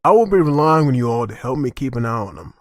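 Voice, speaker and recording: A man's voice: mid-range voice, big pitch swings, fast talker, no echo, clean studio sound, natural voice. A man playing an earnest, protective father who leads survivors in an amateur comic dub. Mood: firm, announcing.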